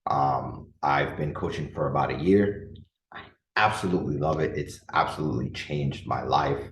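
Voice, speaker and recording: A deep-voiced man in his thirties, originally from the Dominican Republic, talks through a casual solo podcast episode. He rambles earnestly, restarting sentences and leaning on fillers. The sound is roomy and echoey. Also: normal speed, fairly bright tone, distant mic. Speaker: podcast host and coach. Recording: echoey room.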